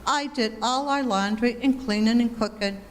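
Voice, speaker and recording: A woman's voice: on the high side, normal speed, close to an everyday voice. An older woman playing a mild, practical mother and housewife in 1940s radio comedy style. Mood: practical, dry. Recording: reverberant hall.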